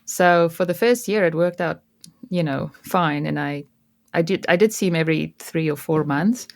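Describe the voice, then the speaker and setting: A woman's voice: sits low, moderate pace, vocal fry, no room sound, clean studio sound. A woman from South Africa, an Afrikaans native speaker with a South African accent, chatting as an interview guest and often restarting her sentences. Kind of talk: casual interview chat.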